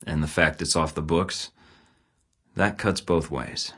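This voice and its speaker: A man's voice: very deep voice, fairly even intonation, a little slow, fairly bright tone, full character voice. An actor playing a terse, guarded male secret agent in a video game, with dry, clipped, sardonic delivery.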